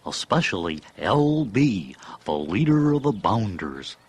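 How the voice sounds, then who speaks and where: An actor playing a friendly, simple, folksy creature sidekick, curious and plain-spoken, in a children's audio storybook. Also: male voice, fairly low pitch, very animated, a little slow, over music.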